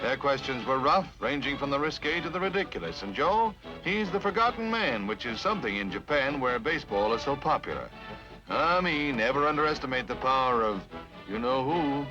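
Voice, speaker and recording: A man's voice: mid-range voice, animated, brisk. A man narrating a 1950s newsreel with a jaunty, punchy announcer delivery. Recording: dull vintage newsreel soundtrack. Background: background music.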